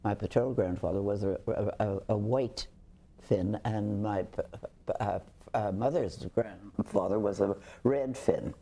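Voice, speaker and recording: Older woman, husky voice, warm dark tone, halting speech, frequent restarts. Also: very deep voice. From Canada. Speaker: interview guest. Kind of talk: reflective conversational storytelling.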